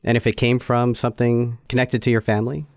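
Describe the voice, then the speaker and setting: A man's voice: medium pitch, flat delivery, quick pace, darkish tone. A man hosting an interview, asking questions and giving supportive brief acknowledgments. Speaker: podcast host.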